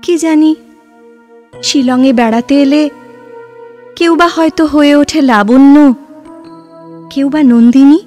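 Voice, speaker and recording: A woman playing a girlish, searching, idealistic young woman in love in a literary audio drama, with halting, intense delivery. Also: high voice, a little mellow, faint music behind. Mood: searching, bewildered.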